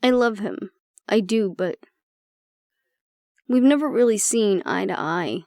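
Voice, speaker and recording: A woman performs an exasperated female agent in an intimate audio drama. Her voice is creaky and mid-pitched, recorded close and dry. She talks bluntly and sardonically, as if confiding in one person. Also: lively intonation, takes it slowly, darkish tone, dry recording. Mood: frustrated, resigned. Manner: blunt, sardonic, conversational, swearing. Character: exasperated woman agent venting about her stubborn, disapproving father. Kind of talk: audio roleplay acting, intimate monologue to the listener.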